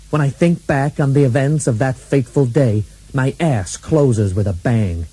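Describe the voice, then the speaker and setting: An actor playing a deadpan hardboiled bodyguard and assassin who narrates his own story in a comic radio play. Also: medium pitch, dry recording, rough recording.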